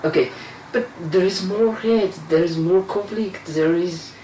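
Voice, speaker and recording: A man's voice: high voice, measured pace, mellow, recorded from a distance. A man interviewing a guest, mostly giving short replies and speaking hesitantly when he makes his own points. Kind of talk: interview discussion. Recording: echoey room, voice off-mic, faint noise.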